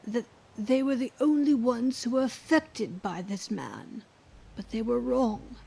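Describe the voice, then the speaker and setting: A woman playing a weary, embittered ex-wife living in seclusion, in a radio drama, speaking in a breathy hush with blunt resignation. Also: on the high side, exaggerated voice, low-quality recording. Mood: resigned. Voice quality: breathy, hushed. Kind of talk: scripted radio drama dialogue.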